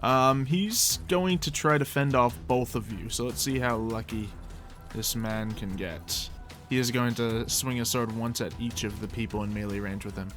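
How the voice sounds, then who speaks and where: A man runs a tabletop roleplaying game as game master. His voice is bright and mid-pitched, casually improvising as he goes, over faint music. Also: normal speed.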